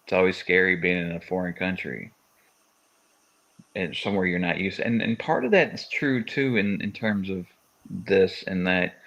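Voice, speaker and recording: A man's voice: deep voice, normal speed, fairly bright tone, dull audio. A man in his forties from Kentucky, co-hosting a casual live-stream chat and rambling with many restarts. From Louisville, Kentucky, USA. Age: 40s. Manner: rambling, stop-start phrasing with many restarts. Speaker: podcast co-host.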